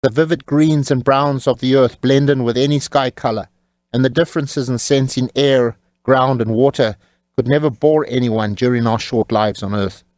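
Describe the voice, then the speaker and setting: A man's voice: mid-range voice, fairly even intonation, normal speed, a little mellow, dry recording, studio quality. A man narrating an audiobook as its author, in a wry, tongue-in-cheek manner.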